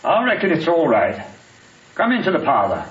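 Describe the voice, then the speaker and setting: An actor playing a stern, wary Southern patriarch of about sixty in an old radio drama, with commanding, folksy country speech. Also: male voice, a little high, huge pitch swings, moderate pace. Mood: relieved, kindly. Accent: American Southern. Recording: dull, hissy old radio broadcast.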